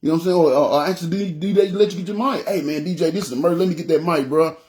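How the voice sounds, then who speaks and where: Man, pastor preaching on a livestream, deep warm voice, casual street talk, keeps checking "you know what I'm saying?". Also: steady tone, very fast. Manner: acts out quoted lines.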